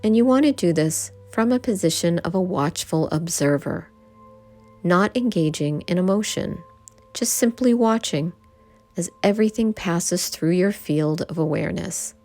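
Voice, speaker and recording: A woman guiding a yoga nidra meditation, giving gentle instructions in short phrases with pauses between them. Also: on the low side. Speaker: meditation guide. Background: background music.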